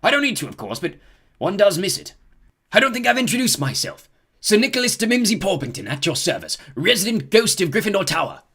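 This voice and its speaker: A man's voice: sits high, big pitch swings, a little fast, bright, forceful tone, slightly rough, voice pushed up, full character voice. A man voicing a centuries-old male ghost who is genteel, stiff and easily miffed. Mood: wistful.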